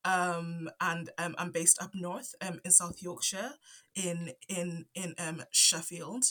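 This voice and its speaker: A woman's voice: on the low side, fairly even intonation, slow, bright, forceful tone. A woman in her twenties from Zambia with a stutter, answering interview questions hesitantly with many fillers and restarts. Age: 20s. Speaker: guest, anthropology PhD student.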